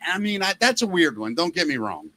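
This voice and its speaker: Loud, gravelly-voiced radio man. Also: a little high, fairly quick. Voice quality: gravelly former smoker's voice. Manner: loud, animated storyteller who acts out quoted voices. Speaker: podcast host, former radio DJ. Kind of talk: casual chat.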